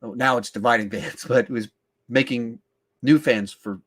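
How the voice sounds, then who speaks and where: A man's voice: medium pitch, steady tone, moderate pace, a bit bright. A man hosting a podcast, chatting casually about films in an emphatic way, often thinking aloud. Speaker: podcast host. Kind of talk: casual film discussion.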